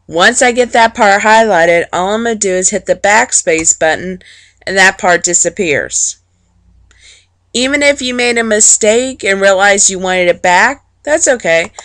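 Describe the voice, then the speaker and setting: A woman teacher narrating an informal, plain-spoken software walkthrough step by step, explaining things for students. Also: medium pitch, expressive, unhurried, no echo. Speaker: teacher giving a software tutorial.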